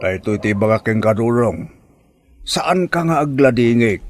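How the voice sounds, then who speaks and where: A man's voice: mid-range voice, animated, moderate pace, no echo, close to an everyday voice. A man with an Ilocano accent playing a nagging, exasperated, blustery uncle in a comedy drama, scolding and exclamatory. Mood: advising.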